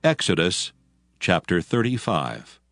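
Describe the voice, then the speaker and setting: A man narrating scripture from a script in a solemn, measured manner. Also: low-pitched, big pitch swings, creaky, a bit bright. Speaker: Bible narrator. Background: faint music.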